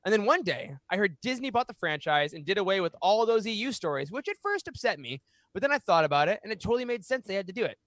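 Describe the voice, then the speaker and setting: High-pitched man hosting a podcast. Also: sing-song, fast talker, a bit bright, dry recording. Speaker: podcast host. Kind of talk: reading aloud and hosting a fan podcast. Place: small home room, indoors. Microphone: close studio microphone on boom arm.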